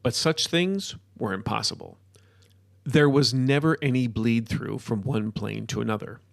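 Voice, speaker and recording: A man's voice: mid-range voice, a little slow, gravelly, no room sound, studio quality. A man reading scripted fiction as a storyteller, narrating the action.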